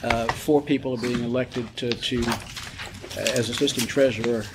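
A man's voice: mid-range voice, steady tone, normal speed, vocal fry. An American man chairing a formal meeting, courteous and procedural, with hesitant phrasing. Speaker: board chairman. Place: large conference room with long table. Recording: loud background noise and clicks.

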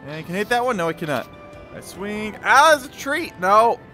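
High-pitched, animated man gaming. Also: normal speed. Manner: exclamatory, short self-directed bursts. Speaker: gamer playing and commenting. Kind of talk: excited live gameplay commentary. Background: game music.

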